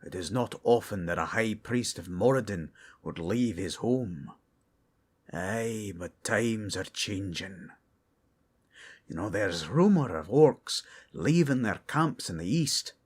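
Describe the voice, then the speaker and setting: A man voicing a dignified male dwarf high priest in a fan audio drama, speaking in formal, old-fashioned phrasing. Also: lively intonation, slow pace, breathy edge, exaggerated voice. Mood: stately.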